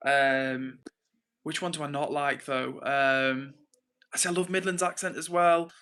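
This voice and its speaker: A man's voice: on the high side, steady tone, a little slow, bright, forceful tone, clean tone. A man from England with an English accent, hosting a casual livestream, reading out comments and rambling informally as he thinks aloud. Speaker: livestream host.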